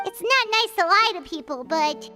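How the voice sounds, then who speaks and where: A woman voicing a tiny, childlike floating fairy in a video game, squeaky, blunt and excitable. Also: moderate pace, faint music behind, exaggerated voice. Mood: excited. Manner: refers to herself in third person, emphatic. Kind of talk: scripted video game dialogue.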